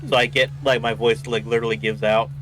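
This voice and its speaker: A man's voice: mid-range voice, flat delivery, fast talker. Rambling man chatting, noisy hum. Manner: rambling, filler-heavy, restarts sentences. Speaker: co-streamer. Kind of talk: casual chat during gameplay.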